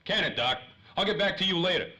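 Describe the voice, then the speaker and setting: A man's voice: high voice, lively intonation, quick pace, sharp tone, exaggerated voice. A man playing an impatient, wisecracking, slangy tough guy in 1930s radio drama style. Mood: impatient, sarcastic. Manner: slangy, snappy. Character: hard-boiled, impatient, wisecracking male 1930s detective type. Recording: faint noise, light ambience.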